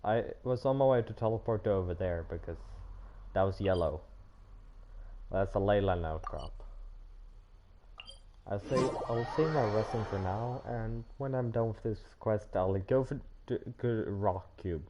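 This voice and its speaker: A man streaming a game, chatting casually and thinking out loud in a rambling way. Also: fairly low pitch, a little slow, highs cut off. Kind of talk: casual livestream chat while gaming.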